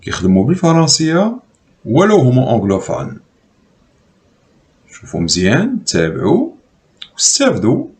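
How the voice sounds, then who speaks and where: A man with a Moroccan accent giving a quick, advising intro to a video. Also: mid-range voice, wildly swooping pitch, darkish tone. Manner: urgent, advising. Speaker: channel host.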